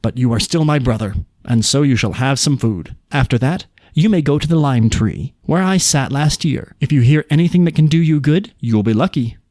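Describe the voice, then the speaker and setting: A man reads a forgiving, level-headed young storybook hero. He speaks plainly at a medium pitch, in a very dry recording. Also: expressive, normal speed, darkish tone, no room sound, lightly acted. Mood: frank, forgiving. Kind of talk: folk tale character voice.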